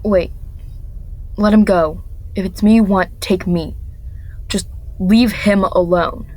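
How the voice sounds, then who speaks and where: A woman's voice: mid-range voice, steady tone, slow, a little mellow. A woman playing a defiant, haunted young woman on the run, telling her story earnestly in a radio drama. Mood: angry defiance. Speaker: lead actor and narrator in an amateur radio drama. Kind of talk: first-person dramatic narration and radio drama acting. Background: music.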